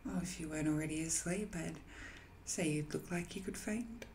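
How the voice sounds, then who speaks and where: A woman playing an ancient, banished magical villain in an audio roleplay, musing and seductive, with hesitant, intimate delivery that often softens toward a whisper. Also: low voice, normal speed, a little hiss or hum. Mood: teasing, soothing. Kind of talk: scripted audio roleplay monologue, intimate and addressed to the listener.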